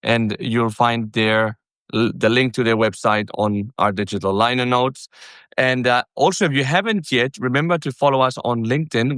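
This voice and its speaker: A man hosting a podcast about business, talking in an energetic, chatty way with frequent self-corrections. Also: medium pitch, clean tone, dry recording, clean studio sound. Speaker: podcast host. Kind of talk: casual podcast interview.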